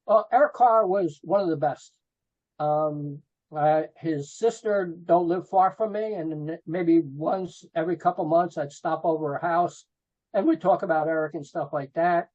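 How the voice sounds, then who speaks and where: A man's voice: a little high, a little slow, a little mellow. A middle-aged man reminiscing casually as an interview guest, informal and peppering his talk with "you know". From New Jersey, USA. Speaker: podcast guest, rock photographer. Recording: dull lo-fi call audio.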